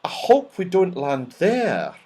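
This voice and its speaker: A man's voice: high-pitched, huge pitch swings, slow, dark tone, husky, pitched above the performer's own voice, heavily acted. Man voicing a wondering, lilting storybook fairy girl. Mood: awed, wary. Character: Silky, golden-haired female fairy, wondering and wary.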